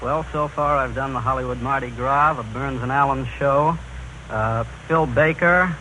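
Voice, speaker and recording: A man's voice: mid-range voice, normal speed, bright, forceful tone, poor audio. A man being interviewed as a guest on a 1930s radio show. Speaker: guest, magazine photographer. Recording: hissy vintage 1930s radio broadcast.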